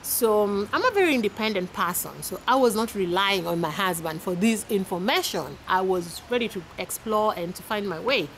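A woman in her thirties from Kenya with a Kenyan accent, giving casual advice to camera in a chatty, animated way, filmed outdoors in a garden. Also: mid-range voice, normal speed, hardly any pauses, slight background noise. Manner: chatty, animated, laughs often, with repeated restarts. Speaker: vlogger. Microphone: camera at arm's length.

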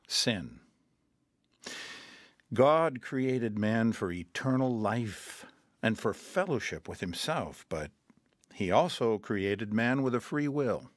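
An actor playing a patient mission counselor in a radio drama, talking calmly and earnestly and quoting scripture. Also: male voice, fairly low pitch, slow, no echo, studio quality. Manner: calm, earnest, quotes scripture.

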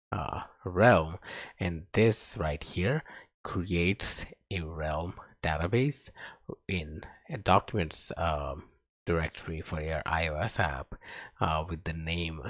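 Very deep, slow-talking man teaching coding. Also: no room sound. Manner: halting, talks while typing. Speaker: programming instructor. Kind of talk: screen-recorded coding tutorial narration.

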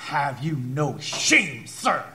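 An actor playing an officious, easily flustered police inspector in a gothic audio drama. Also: male voice, a little high, sing-song, slow, sharp tone, slight background noise, full character voice. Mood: indignant.

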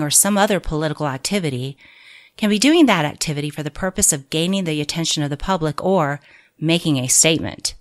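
A woman reading scripted audiobook narration of a political manual in a measured, deliberate, clearly phrased way. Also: on the low side.

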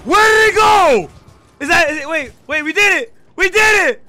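A young American man giving casual, excitable let's-play commentary, slangy and repeating his phrases. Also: very high-pitched, moderate pace, raised voice. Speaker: gaming YouTuber. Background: game music.

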